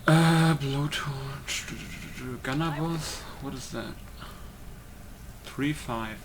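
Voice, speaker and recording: Brief: male gaming host, casual running commentary, clear game noise and gunfire behind the voice. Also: medium pitch, drawn-out words. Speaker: gamer and video host. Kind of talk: casual gameplay commentary, part role-play.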